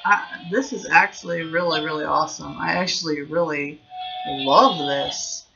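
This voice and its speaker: A woman's voice: deep voice, steady tone, a little slow, creaky, bright, forceful tone, lo-fi sound, soft background music. A young adult woman giving a casual, informal product review, thinking aloud as she goes in a small room at home. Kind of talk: casual unboxing review chat.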